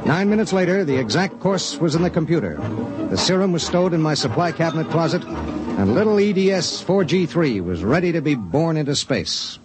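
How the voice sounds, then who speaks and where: A man's voice: on the high side, sing-song, moderate pace, rough recording. An American man playing a troubled space pilot who narrates his grim story in a dramatic 1950s radio style. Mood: grim narration. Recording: old radio broadcast with music behind.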